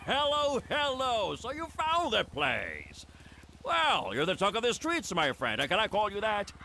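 A man's voice: high voice, wildly swooping pitch, a little slow, bright, forceful tone, a little hiss or hum, full character voice. An actor playing a shady, over-friendly male businessman in a video game, gushing theatrically and easily flustered. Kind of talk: video game cutscene acting.

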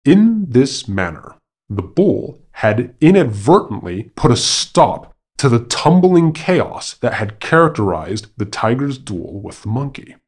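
A man narrating a scripted animal fable as a podcast storyteller, in a formal, measured and dramatic manner. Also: medium pitch, sing-song, a little mellow, slightly rough.

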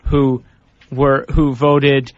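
A man's voice: medium pitch, level tone, very slow. A man co-hosting a radio show with casual banter, talking in a blunt, irreverent way. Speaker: radio co-host. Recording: dull lo-fi radio recording.

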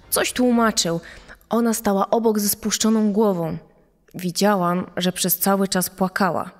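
Actress for woman eyewitness in true crime drama, medium pitch, careful testimony with long reverb. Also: lightly acted. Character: female eyewitness soberly recounting suspicious sighting in court. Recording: echoing hall reverb.

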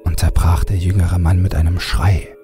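A man narrating a scripted horror story in a measured, ominous manner. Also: low-pitched, flat delivery, warm tone, faint music behind. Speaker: audiobook narrator.

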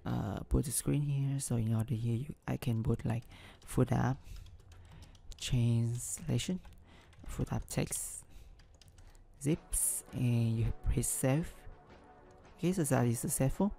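A man hosting a screen-recorded software tutorial, talking through each step with frequent ums and restarts, English not his first language. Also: medium pitch, takes it slowly, creaky, pauses often, faint music behind. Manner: hesitant, many ums and restarts. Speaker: business analyst tutorial host.

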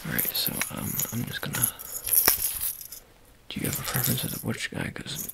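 Gravelly man in his thirties, soft offhand ASMR roleplay with whispers, close mic in a dark room, audible hiss behind. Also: mid-range voice, fairly even intonation, moderate pace. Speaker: ASMR creator playing a surgeon. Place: small dark room indoors. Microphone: close-up camera held near the face.

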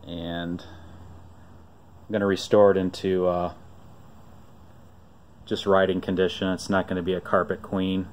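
A man narrating off-camera outdoors while filming a vintage find, telling the story in a relaxed, rambling way with frequent pauses. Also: low-pitched, a little hiss or hum. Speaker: vlogger showing a vintage find. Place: outdoors on a driveway.

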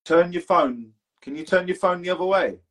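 Husky chatty podcast host man. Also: sits high, normal speed. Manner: chatty, swears casually, laddish banter. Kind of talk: casual podcast interview. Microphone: video call.

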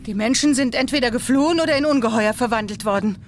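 A woman's voice: a little high, quick pace, natural voice. An actress playing a plucky, anxious young woman adventurer in a dramatic, exclamatory radio play style. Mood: shaken. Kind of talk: German children's sci-fi radio play acting. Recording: loud action noise behind the voice.

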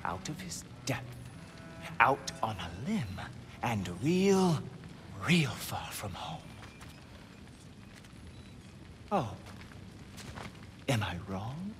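A man's voice: medium pitch, sing-song, slow pace, long pauses, music in the background. A man voicing a smug, mocking god in a video game, drawling sarcastic, theatrical taunts. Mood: sneering.

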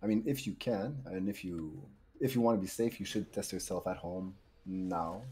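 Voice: low voice, normal speed, mellow. A teasing streamer chatting and joking with friends during a game. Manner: teasing, laughs a lot. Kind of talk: casual gaming chat.

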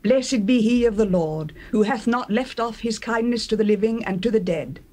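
An actress playing a caring, wise older mother-in-law, speaking deliberately in classical dramatized scripture. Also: on the high side, lightly acted. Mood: tender, pleased. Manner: expressive, deliberate.

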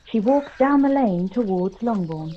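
A woman's voice: on the high side, animated, unhurried. A woman narrating scripted stage directions for a live-read drama. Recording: dull video call, faint noise.

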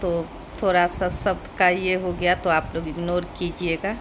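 A woman's voice: fairly low pitch, flat delivery, normal speed. A middle-aged woman, talking casually to viewers as a vlogger narrating an unboxing. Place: indoor room at home. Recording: clearly noisy home phone recording. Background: clear background noise.

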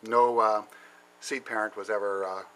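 A middle-aged man giving a casual, halting tour of his basement plant shelves, with many ums and frequent throat clearing, recorded on a handheld camera. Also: lowish voice, fairly even intonation, fairly bright tone, a little hiss or hum. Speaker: home plant grower. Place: indoor basement grow shelves.

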